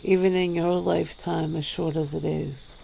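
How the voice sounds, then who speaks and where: Lady doing a low husky old vampire woman, super slow, dark soft tone, faint hiss behind. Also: steady tone, low-quality recording. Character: ancient brooding female vampire, wry and weary. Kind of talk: ASMR roleplay.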